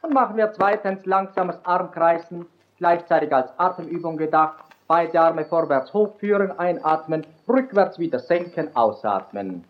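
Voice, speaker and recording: An actor playing a regimented old-time radio exercise instructor, giving clipped counted commands. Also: male voice, a little high, normal speed, a little hiss or hum, natural voice. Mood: commanding. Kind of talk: 1930s radio exercise broadcast. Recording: tinny narrow old radio sound. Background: loud piano music.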